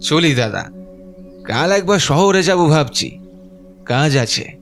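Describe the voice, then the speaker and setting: A man's voice: fairly high pitch, expressive, slow, faint music behind. An actor playing a greedy, resentful village man in an audio horror story, complaining and wheedling in a folksy way. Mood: casual. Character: grumbling, greedy, resentful village man, fawning on his elder.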